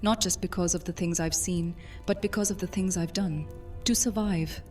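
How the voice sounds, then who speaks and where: Woman playing a solemn, low-voiced ancient vampire mistress. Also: fairly even intonation, normal speed, a little mellow, lightly acted. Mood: brooding. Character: ancient regal vampire mistress and former master thief, brooding. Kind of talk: audio drama acting. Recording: music under the voice.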